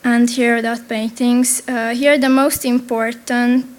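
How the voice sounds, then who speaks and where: A young woman with a Hungarian accent presents her drawings and paintings, halting often and restarting her sentences. She speaks slowly in a fairly high voice that stays flat and even. Her words come carefully, as if she is nervous. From Transylvania. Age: young adult. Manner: hesitant, many pauses and restarts. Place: event venue.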